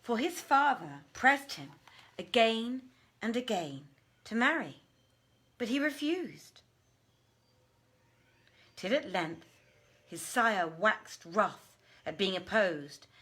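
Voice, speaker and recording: A woman's voice: mid-range voice, very animated, slow pace, long pauses, fairly bright tone, slightly rough, heavily acted. Lady doing a scornful jinn woman from an old tale, haughty, declaiming, voice swoops around. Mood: proud admiration. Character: scornful, imperious female jinn in love.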